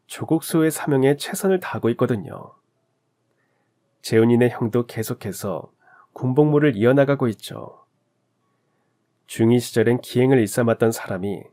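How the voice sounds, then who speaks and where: Calm man reading a heartfelt story. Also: medium pitch. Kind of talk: scripted narration of a listener's story.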